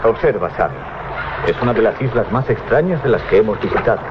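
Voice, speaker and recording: Man acting a bold, commanding sea captain, animated delivery. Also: fairly low pitch, fairly quick, natural voice. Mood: commanding, assured. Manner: authoritative, giving orders. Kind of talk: 1980s Spanish radio drama acting. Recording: dull, slightly noisy 1980s radio recording.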